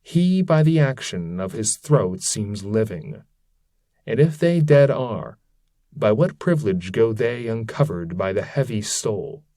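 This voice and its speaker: A man's voice: on the low side, animated, measured pace, warm tone, no echo. A man voicing a grieving damned friar in a formal, weary, archaic way for an epic poem. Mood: curious, solemn. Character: grieving damned friar, hypocrite's shade in leaden cloak. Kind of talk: dramatic reading of epic verse.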